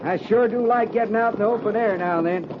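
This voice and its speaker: A man's voice: high voice, fast, close to an everyday voice. An American man playing a loyal, folksy veteran cavalry sergeant in a 1950s radio drama, plainspoken and respectful. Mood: wry. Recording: muffled, noisy 1950s radio recording.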